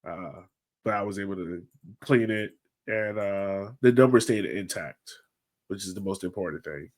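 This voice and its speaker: A man's voice: low voice, normal speed, a little mellow. A man hosting a sports podcast, talking in an informal, chatty, conversational way. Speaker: podcast host. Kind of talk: casual sports podcast chat.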